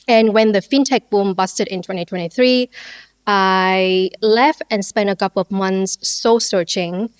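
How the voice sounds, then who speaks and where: Podcast guest: woman in her thirties from Vietnam, measured and detailed, crisp voice in a dry room. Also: mid-range voice, no echo. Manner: measured, detailed, light laughs. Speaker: podcast guest, business owner. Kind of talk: conversational interview storytelling.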